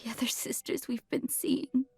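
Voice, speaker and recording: High, halting, fragile schoolgirl. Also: flat delivery, vocal fry, slightly rough, no echo, faint music behind, full character voice. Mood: shaken, earnest. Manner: halting, faltering. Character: timid, distraught, fragile schoolgirl, haunted and pleading. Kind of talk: dramatic visual novel voice acting.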